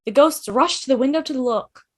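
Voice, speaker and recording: A woman's voice: high-pitched, normal speed. A woman reading scripted stage directions as the narrator of a table read over a video call.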